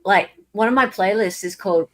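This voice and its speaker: A woman with a medium-pitched voice talks casually with others. She interjects with teasing, sarcastic comments in an informal way. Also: fairly quick, a bit bright, a little hiss or hum. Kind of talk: casual chat.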